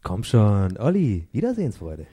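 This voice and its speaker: A man playing an excitable, easily miffed boy detective in a comic radio play. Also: on the low side, moderate pace, husky, heavily acted. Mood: taken aback.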